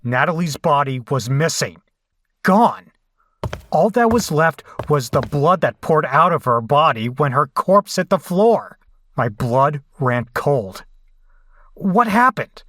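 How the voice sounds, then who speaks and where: Horror drama lead, male actor: shaken survivor telling the tale gravely and unhurriedly, in a dry space. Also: a little high, lively intonation, slow pace, a bit bright, no echo, exaggerated voice. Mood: rising dread. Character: shaken horror survivor recounting a bloody escape. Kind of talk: first-person horror audio drama narration.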